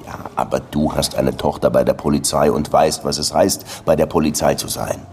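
An actor playing a world-weary, dogged police detective who also narrates, in a radio drama, with a measured, dry delivery. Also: male voice, rumbling low, steady tone, a little mellow, a little hiss or hum. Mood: insistent. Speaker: lead detective and first-person narrator.

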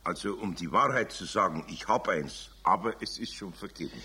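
An actor playing a kindly, patient older master carpenter with a raspy Bavarian voice in a children's radio play. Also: male voice, deep voice, animated, pushed and bright, exaggerated voice. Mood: reluctant. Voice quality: raspy, husky. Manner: homely, good-natured, unhurried.

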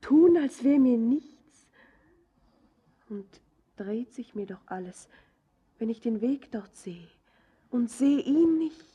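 An anxious young daughter, lovesick and imploring, in a theatrical radio drama. Her voice is very breathy and slightly high, often sinking toward a whisper. She speaks slowly and haltingly, with great fervour. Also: expressive, dark tone, muffled recording, exaggerated voice. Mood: anxious, hushed. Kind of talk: theatrical German radio drama acting, verse-like lines.

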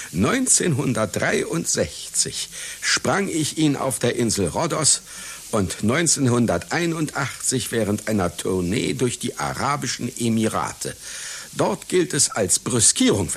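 Proud, swooping old circus clown. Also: male voice, medium pitch, wildly swooping pitch, a little slow, slight background noise. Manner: pedantic, formal, easily flustered and stammering. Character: proud, scatterbrained elderly male circus clown. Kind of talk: satirical German radio play acting.

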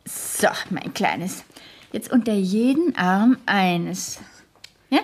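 Posh bossy older great-aunt, worldly, lecturing like in an old radio drama. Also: female voice, mid-range voice, lively intonation, very slow, husky, exaggerated voice. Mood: brisk, instructing. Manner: grand, instructing, exacting. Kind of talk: 1970s German radio play acting.

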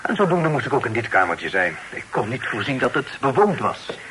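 An actor playing a courteous, forthright young gentleman in a Dutch radio play with formal old-fashioned delivery. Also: mid-range voice, sing-song, brisk, poor audio. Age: young adult. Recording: old radio play recording, background noise.

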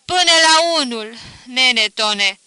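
A reader voicing a grieving peasant mother, a widow, keening loudly and high over a dead brother in a storybook reading. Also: lively intonation, smooth, no echo, full character voice. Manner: keening lament, loud and high. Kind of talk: audiobook character voice.

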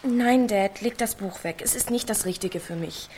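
A woman's voice: mid-range voice, normal speed, darkish tone, a little hiss or hum, natural voice. A woman playing a sullen, scheming teenage village girl with a curt, snide manner in a radio drama. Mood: sullen, stubborn. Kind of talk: naturalistic radio drama dialogue.

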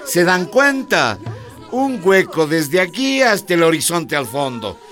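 An actor playing an earnest village schoolteacher in a radio drama, didactic and deliberate as he rallies his neighbours. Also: fairly high pitch, big pitch swings, fairly bright tone, faint music behind. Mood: alarmed, persuasive.

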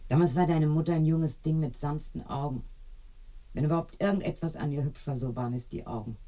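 An actress playing a talkative older village woman who reminisces and confides in a German radio play, digressing as she tells her tales with a husky voice. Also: low-pitched, brisk, dark tone. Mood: wistful, confessing. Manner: garrulous, digressing storyteller.

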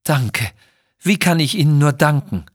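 A polite young girl from China, voiced by a man, pleading and earnest. Her voice sits clearly raised, in a clean, dry children's audio story recording. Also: a little high, animated, a little slow, no echo, voice pushed up. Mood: grateful.

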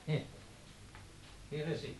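An actor playing an aging father in a radio play, sly and aggrieved, with a husky, understated delivery. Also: slow pace, background noise, close to an everyday voice. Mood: wry, defiant.

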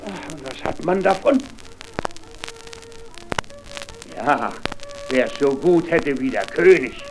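Slow, grumbling, boastful poor miller. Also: male voice, a little high, expressive, music in the background. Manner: hesitant, servile, sighing. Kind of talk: fairy-tale radio play acting.

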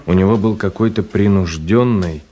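An actor playing a reserved, polite gentleman traveller who narrates and speaks in a literary radio play, measured and reflective. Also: low voice, close to an everyday voice. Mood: measured narration. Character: reserved, courteous gentleman traveller telling his story. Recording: noisy old radio drama recording.

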